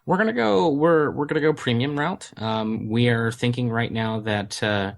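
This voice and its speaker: A man's voice: mid-range voice, normal speed, vocal fry. A man, the community manager of a game studio, answering interview questions in a hesitant way with many fillers and restarts.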